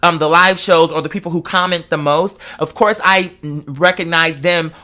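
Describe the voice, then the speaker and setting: High, bright-voiced man, emphatic and slangy. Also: steady tone, normal speed. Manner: rambling, digressive. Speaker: online show host. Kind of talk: casual confessional chat to followers.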